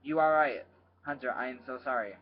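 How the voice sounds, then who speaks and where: A man reading aloud and voicing an angry middle-aged father who shouts and demands answers, on a dull lo-fi home recording. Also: mid-range voice, brisk, slight background noise, natural voice. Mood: apologetic.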